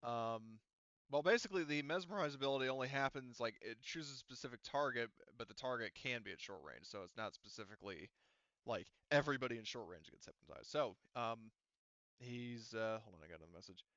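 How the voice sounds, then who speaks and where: Guy game mastering online, smooth clear voice, dry but kinda muffled recording, mutters thru the rules. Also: mid-range voice, expressive, brisk, fairly bright tone, dry recording. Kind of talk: running an online tabletop roleplaying game, thinking aloud.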